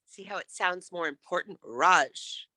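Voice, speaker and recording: A woman's voice: lowish voice, unhurried, bright, forceful tone, dry recording. A woman coaching public speaking in an interactive lesson, encouraging and emphatic as she demonstrates.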